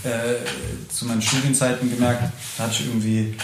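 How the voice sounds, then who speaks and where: A man's voice: medium pitch, level tone, fairly quick. A man interviewing a musician in a friendly, hesitant way, with many fillers. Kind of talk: casual interview chat. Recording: echoey room, faint noise.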